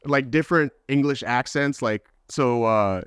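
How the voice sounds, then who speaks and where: A man's voice: mid-range voice, unhurried, a bit bright, smooth, no echo, studio quality. A young man with a Midwestern American accent, chatting on a podcast in a loose, rambling way full of "like". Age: young adult. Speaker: podcast guest. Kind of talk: casual chat.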